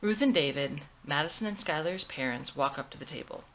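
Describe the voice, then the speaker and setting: A woman reads screenplay stage directions in a scripted narrating style. Her voice sits a little low but has a bright, clear edge. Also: animated, brisk, a little hiss or hum, low-quality recording. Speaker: writer reading own screenplay.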